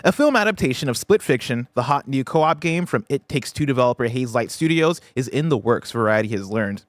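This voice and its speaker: A man's voice: medium pitch, animated, fairly quick, no echo. A man hosting a games news show, talking in a casual, analytical, conversational way. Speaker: podcast host.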